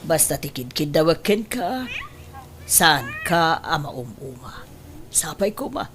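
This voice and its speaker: A woman's voice: low voice, sing-song, slow pace, background noise, full character voice. A woman acting a domineering middle-aged mother who coaxes and insists, in an emotive audio drama. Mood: scheming, firm.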